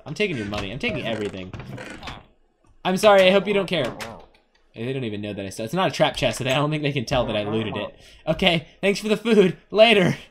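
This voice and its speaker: A man's voice: mid-range voice, fairly bright tone. A young man giving casual, playful gaming commentary, rambling to himself and to the game's characters. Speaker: gaming video host. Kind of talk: casual Let's Play commentary on an adventure game.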